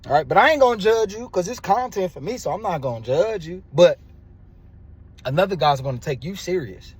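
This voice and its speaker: Brief: young man in his twenties, slightly high voice, crude and animated, ranting about dating with lots of repetition. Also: brisk, breathy edge, no echo. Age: 20s. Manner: crude, animated, repetitive, swings between low mutters and loud outbursts. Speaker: dating commentator. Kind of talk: casual storytelling rant.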